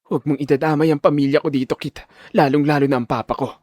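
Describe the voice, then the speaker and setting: Man acting a male high school student, audio drama, high voice, defiant, determined, formal, dry sound. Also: fairly even intonation, fast talker, darkish tone, no echo, close to an everyday voice. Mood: controlled anger. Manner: firm, formal, respectful but challenging.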